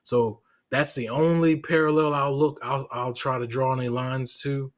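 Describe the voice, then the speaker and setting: A man who is a Marine veteran, a guest in a casual interview, speaking in a measured way with many pauses and restarts. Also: mid-range voice, fairly even intonation.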